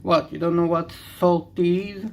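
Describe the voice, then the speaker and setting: A young man with an Israeli accent, a native Hebrew speaker, rambling candidly and haltingly as he hosts a casual video. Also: sits high, flat delivery, gravelly, a little hiss or hum. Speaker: card-opening video host. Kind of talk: casual rambling commentary while opening cards.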